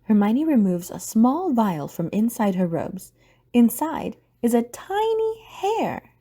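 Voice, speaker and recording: A woman's voice: fairly high pitch, very animated, slow, mellow, slightly rough. A woman narrating an audio drama from a script as a storyteller.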